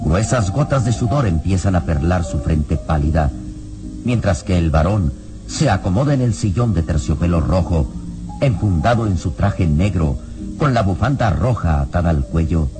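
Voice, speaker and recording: A man's voice: sits low, lively intonation, normal speed, music in the background. A man narrating a radio drama with solemn, suspenseful, scripted storytelling.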